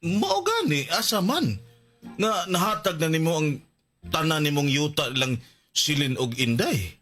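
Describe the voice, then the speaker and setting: An actor playing an aggrieved grown son in a radio melodrama, with a Cebuano accent and a stammering, protesting delivery. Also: male voice, a little high, very animated, a little slow, fairly bright tone, soft background music, full character voice. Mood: confused, protesting. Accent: Cebuano Filipino. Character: aggrieved, indignant grown son of an elderly farmer. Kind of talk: melodramatic radio drama acting.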